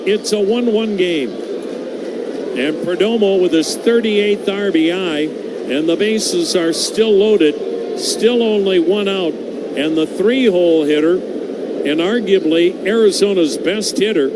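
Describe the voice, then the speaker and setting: High-pitched male baseball announcer, unhurried, noisy. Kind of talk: live radio sports commentary. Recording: very noisy live broadcast.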